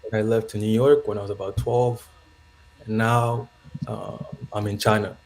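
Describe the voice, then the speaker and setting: A man's voice: on the low side, level tone, normal speed, vocal fry, poor audio. A young man from Zambia, calling into a show and talking in a rambling, reflective way with frequent laughs. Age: young adult. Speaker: caller. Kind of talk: casual call-in chat.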